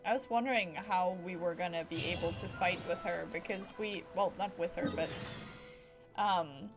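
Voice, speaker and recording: A woman giving casual live gameplay commentary as a streamer, playful and rambling, with excited exclamations. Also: on the low side, normal speed. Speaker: game streamer. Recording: game music behind voice. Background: video game music.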